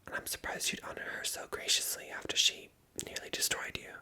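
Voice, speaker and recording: Storybook mermaid princess played by an ASMR reader: young, polite, hesitant, all in bright whisper. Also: fairly quick. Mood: sad. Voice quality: breathy whisper.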